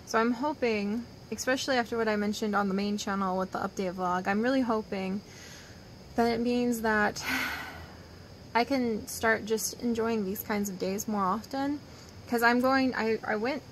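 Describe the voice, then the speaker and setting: A woman's voice: medium pitch, a little hiss or hum, rough recording. A young woman in her twenties talks casually to her viewers from an outdoor wooden deck, rambling and upbeat. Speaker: vlogger. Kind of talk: casual chatty vlog. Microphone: phone or camera held close.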